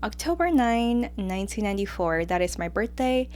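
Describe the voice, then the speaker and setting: A woman's voice: mid-range voice, sing-song, normal speed, a little mellow, a little hiss or hum. A woman in her late twenties whose first language is Spanish, with a light Mexican accent, hosting her own podcast in a casual, chatty way full of fillers. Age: 20s. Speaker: podcast host. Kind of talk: casual solo podcast chat.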